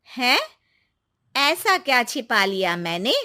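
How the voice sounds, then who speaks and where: Woman acting, faithful village wife, secretive, folksy, emphatic, high and slow, cartoon story. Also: sing-song, bright, forceful tone, smooth, exaggerated voice. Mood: evasive. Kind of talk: Hindi animated moral story acting.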